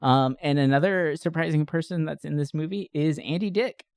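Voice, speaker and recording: A man's voice: a little high, moderate pace, a little mellow, smooth, no echo, studio quality. A man co-hosting a podcast, chatting casually and enthusiastically about movies in a rambling, giggly storytelling way. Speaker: podcast co-host reviewing movies. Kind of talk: casual movie-review chat.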